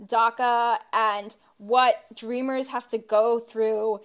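A woman with a fairly high voice co-hosts a casual podcast. She talks with heat and swears freely, circling back on herself with fillers as she builds her point. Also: steady tone, slow pace, a bit bright, clean tone. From grew up in the US after her parents immigrated. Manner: impassioned, profane, rambling with many fillers. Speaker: podcast co-host.

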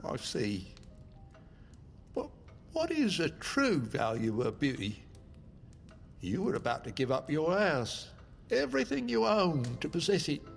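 An actor playing a very old English man with a London accent, telling his life story in a measured, theatrical, grave way for an audio drama. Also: fairly high pitch, wildly swooping pitch, vocal fry, heavily acted. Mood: calm, probing. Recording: music behind the voice.

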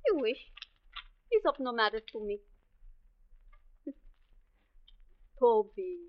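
A woman's voice: on the high side, sing-song, takes it slowly. An actress playing a fiery, distrustful young woman with a Spanish accent and defiant broken English in a 1940s radio drama. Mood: suspicious. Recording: dull, muffled 1940s radio recording.

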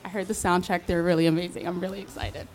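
A woman's voice: lowish voice, fairly even intonation, fast, soft background music. A woman co-hosting a live show with casual introductions, speaking informally. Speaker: show co-host. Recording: noisy live venue recording, glitchy sound.

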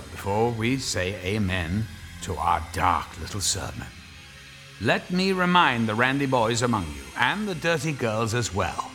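An actor playing a sly, macabre storyteller, narrating horror theatrically like a mock sermon. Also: male voice, on the low side, pushed and bright, heavily acted. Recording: music clearly under the voice.

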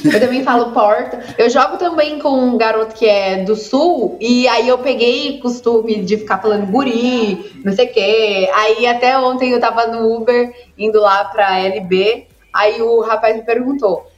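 A woman's voice: a little high, moderate pace, hardly any pauses. A young woman, an esports caster, chatting emotively with lots of fillers in a casual video call interview, on an echoey, dull lo-fi recording.

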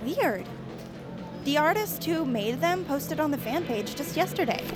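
An actress playing an upbeat, bossy young female fan and livestreamer in an audio drama, brisk and perky, with music underneath. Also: high-pitched, animated. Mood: casual.

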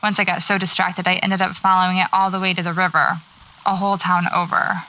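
An actress with an American accent playing a guarded, wry horror writer in a naturalistic audio drama, speaking tersely and dryly. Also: female voice, lowish voice, flat delivery, fairly quick, sharp tone, low-quality recording. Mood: wry, relaxed.

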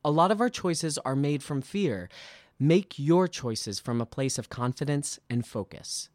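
A man who works as a stage actor reads his own scripted personal essay with polished, deliberate delivery. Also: on the high side, expressive, a little mellow, no echo, clean studio sound. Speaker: musical theatre actor.

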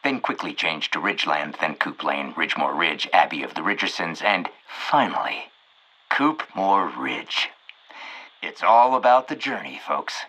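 A man's voice: low-pitched, animated, measured pace, sharp tone, exaggerated voice, low-quality recording. An actor playing a folksy man who gives a rambling, solemn closing address in an audio comedy. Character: folksy man wrapping up a town history play. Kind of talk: audio fiction acting.

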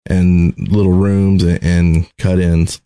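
A man's voice: deep voice, flat delivery, slow pace, warm tone, clean tone. A man co-hosting a podcast, chatting casually about video game tactics. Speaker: podcast co-host.

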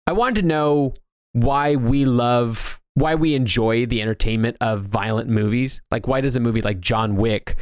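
A man's voice: mid-range voice, no echo. A man talks in a loose, conversational way as a podcast co-host. He rambles and circles back to restart his sentences, and the sound is dry and close, with little room to it. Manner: rambling, halting, restarts thoughts often. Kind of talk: casual discussion of movies and games.

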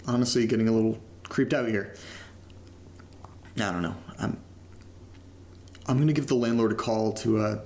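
A man's voice: lowish voice, moderate pace, pauses often, darkish tone, a little hiss or hum, lightly acted. An actor playing a casual young man who documents his creepy new house in a found-footage horror audio drama, rambling informally with some swearing and self-mockery. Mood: uneasy.

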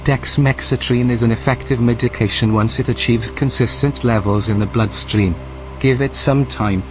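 A man's voice: mid-range voice, fairly even intonation, moderate pace, music in the background, close to an everyday voice. A man voicing an unflappable, passive-aggressive male artificial intelligence in an audio drama, formal and falsely polite. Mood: smug, courteous.

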